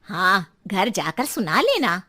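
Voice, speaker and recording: A woman voicing a practical, exasperated neighbour in animated horror-comedy dialogue, with a reproving manner. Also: mid-range voice, slow, heavily acted.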